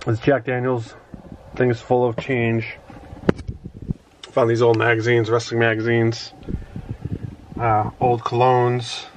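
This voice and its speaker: A middle-aged man giving a casual, halting show-and-tell in a small indoor room on a handheld camera. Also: medium pitch, monotone. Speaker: reseller showing storage unit finds. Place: small cluttered home office, indoors. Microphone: handheld phone or camera. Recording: noisy handheld home recording.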